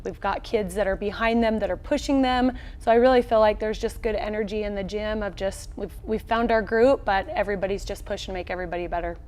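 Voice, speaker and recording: An American woman in her thirties with a medium-pitched voice. She speaks as a coach being interviewed, in an upbeat, colloquial and straightforward way. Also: fairly even intonation, fast talker, hardly any pauses, darkish tone. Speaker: volleyball head coach, interview guest. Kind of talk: conversational sports interview. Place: small indoor locker-room set.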